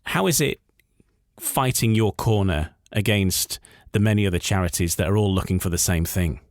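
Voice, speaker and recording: Male radio host for conversational interview, lower register, dry studio-like sound. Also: normal speed, dry recording.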